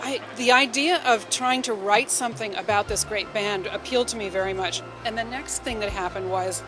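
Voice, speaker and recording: An enthusiastic woman with a mid-pitched voice talks as a guest in an interview. She tells her stories effusively and conversationally, with music clearly playing behind her. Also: moderate pace. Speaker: novelist and radio drama writer, interview guest.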